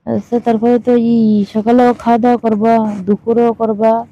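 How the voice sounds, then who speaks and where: A woman's voice: fairly high pitch, little pitch movement, normal speed, dark tone. A woman in a casual, teasing, colloquial phone chat. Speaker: caller in a staged phone conversation. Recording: muffled lo-fi phone call recording.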